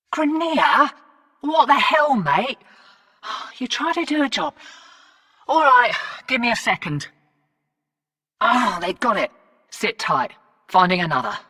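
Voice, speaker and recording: Brash streetwise game fixer, slow cocky drawl, bright edge, reverberant and off-mic. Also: female voice, on the low side, far from the mic, full character voice. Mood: exasperated. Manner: laconic, slangy, cocky drawl. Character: brash, wry, streetwise space-colony woman fixer. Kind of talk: scripted video game character dialogue.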